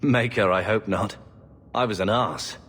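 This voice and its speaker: An actor playing a wry, grumbling warrior companion in a video game. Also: male voice, on the low side, unhurried, fairly bright tone, slightly rough. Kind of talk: video game character acting. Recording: reverberant hall with faint noise.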